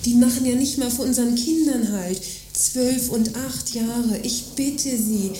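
An actress plays an industrialist's aggrieved wife in a radio satire. She has a soft, mellow tone and a polite, complaining manner. The recording is roomy with a little background noise. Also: a little high, measured pace, close to an everyday voice. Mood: indignant. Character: aggrieved, self-pitying wife of an arms dealer, mother. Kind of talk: satirical radio play acting. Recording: roomy sound with faint noise.

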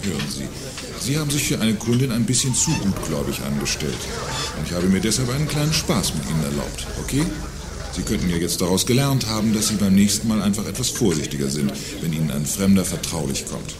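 A man's voice: low voice, sing-song, brisk, background noise. An actor playing a smug, condescending salesman in an audio drama, speaking with sardonic mockery. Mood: mocking. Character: smug, mocking male boutique salesman with a condescending streak. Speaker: sales clerk. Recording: music behind the voice.

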